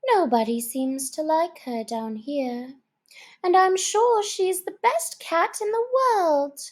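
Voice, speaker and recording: A woman's voice: very high voice, takes it slowly, pitched above the performer's own voice. A woman voicing a polite, naive little girl in a storybook, with an earnest, pleading manner. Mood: melancholy.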